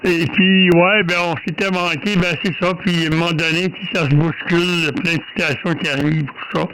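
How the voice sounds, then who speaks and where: Quebec man, amateur radio operator, halting and full of fillers, fairly high voice, faint hiss in the background. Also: steady tone, fairly bright tone, low-quality recording. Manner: halting, repeats words, many fillers. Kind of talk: casual radio chat. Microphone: desk microphone.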